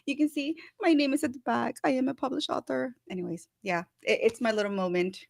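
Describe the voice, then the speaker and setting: A woman from El Salvador hosting a casual online talk show, bubbly and quick, laughing often and restarting her sentences. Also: high voice, vocal fry, no room sound, clean studio sound. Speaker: host.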